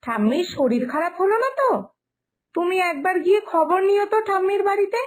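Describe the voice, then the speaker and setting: A woman playing a selfish, evasive young granddaughter in an animated moral story, whiny, impatient and complaining. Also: very high-pitched, a little fast. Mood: puzzled, wanting. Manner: fussy, complaining.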